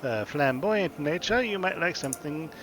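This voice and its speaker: A man's voice: on the high side, expressive, moderate pace, noisy background, pitched above the performer's own voice, natural voice. A man voicing a fussy male hat shop proprietor who talks hesitantly and politely. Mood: proud.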